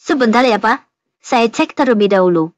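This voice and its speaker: A woman's voice: fairly high pitch, lively intonation, moderate pace, no room sound, close to an everyday voice. A woman voicing a polite, helpful hotel receptionist in a cartoon, speaking in a formal, courteous manner. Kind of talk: scripted cartoon dialogue.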